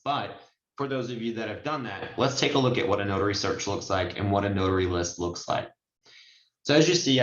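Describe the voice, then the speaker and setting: Male online trainer, lowish pitch, informal step-by-step explainer, muffled call sound. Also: a bit bright, roomy sound. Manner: explanatory, step-by-step, addresses listeners directly. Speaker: notary trainer and signing agency presenter. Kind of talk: informal screen-share training walkthrough. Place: indoors on a group video call.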